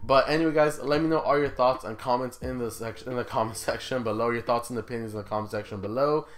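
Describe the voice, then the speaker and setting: A young man hosts a gaming video with casual, conversational commentary. He speaks at a middling pitch and puts informal questions straight to his viewers. Music plays behind his voice. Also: steady tone, fast talker. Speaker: gaming YouTuber, host.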